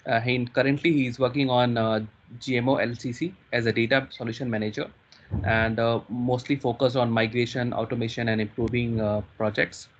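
A man hosting an online event, introducing the next speaker haltingly with many fillers over a video call. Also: medium pitch, level tone, slight background noise. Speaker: event host. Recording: dull lo-fi video call audio.

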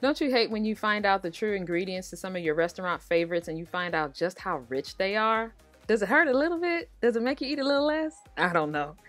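A woman's voice: mid-range voice, expressive, a little fast, a bit bright, no room sound. An American woman hosting a cooking show, narrating a recipe casually and talking directly to viewers in a conversational way. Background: background music.

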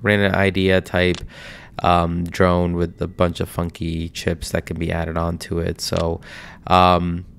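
A man's voice: sits low, completely flat delivery, slow pace, vocal fry, fairly bright tone, smooth. A man with a stuffy, nasal voice from a cold, giving rambling casual commentary over a mobile game. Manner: rambling, filler-heavy. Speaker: mobile game video host.

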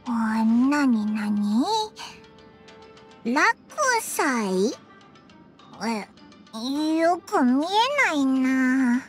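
A tiny, timid fairy speaks in an extremely high, squeaky, childlike voice. The pitch swings widely while the pace stays slow, with boyish phrasing and game music behind. It is an easily scared anime game companion. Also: female voice, expressive, heavily acted. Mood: curious, uneasy. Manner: boyish casual speech, whimpering when afraid. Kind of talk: anime video game acting.

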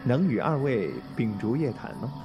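一名男演员在武侠广播剧中饰演一位从容戏谑、暗藏杀意的反派宫主。. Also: male voice, medium pitch, lively intonation, moderate pace, dull audio, lightly acted. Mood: silky teasing. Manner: leisurely, teasing, menacing. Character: suave, menacing martial-arts palace master, amused villain. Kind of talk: Chinese wuxia audio drama acting. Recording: music behind, faint noise.

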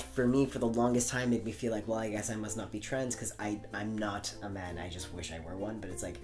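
Casting: young woman, extremely deep voice, quick casual anecdotes. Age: young adult. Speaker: vlog host. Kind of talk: casual personal chat. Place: small room indoors, on a couch.

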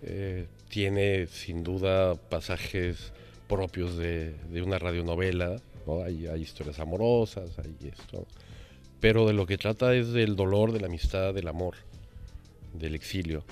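A man in his fifties from Mexico, a radio director, speaks reflectively in an interview with measured pauses. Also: low voice, a little mellow, soft background music. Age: 50s. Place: indoor library.

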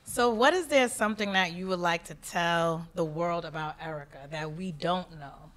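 A woman's voice: on the low side, normal speed, fairly bright tone. A young woman co-hosting a podcast, asking long probing questions in casual chat. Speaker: podcast co-host.

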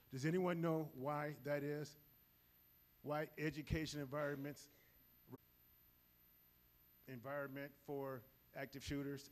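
A middle-aged man with a loud voice, giving a live safety training talk on a handheld mic in a large auditorium, moving around as he speaks. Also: on the high side. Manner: energetic, moves around the stage while talking. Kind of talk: live presentation to an audience. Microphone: handheld microphone.

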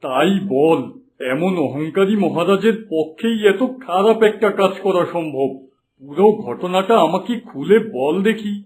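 Blunt highish-voiced holy man acted by a man. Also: lively intonation, measured pace, darkish tone, poor audio. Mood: disapproving. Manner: blunt, questioning, familiar. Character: blunt, inquisitive male wandering holy man. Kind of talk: Bengali fairy-tale cartoon voice acting.

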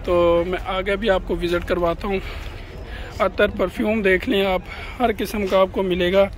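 Man, high voice, chatty market-tour vlogger, phone mic outdoors. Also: flat delivery, moderate pace, slight background noise. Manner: chatty, keeps addressing viewers as "janab". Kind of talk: walking market tour vlog. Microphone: phone camera held by the walking speaker.

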